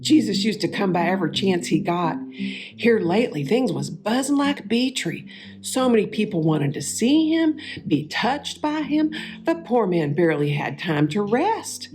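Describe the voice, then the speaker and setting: A woman playing a warm, homespun biblical sister in a dramatic monologue, with a Southern US accent and a folksy, wistful manner, lingering over her words. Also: medium pitch, big pitch swings, normal speed, over music, lightly acted. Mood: fond. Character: warm homespun Martha of Bethany, sister of Lazarus.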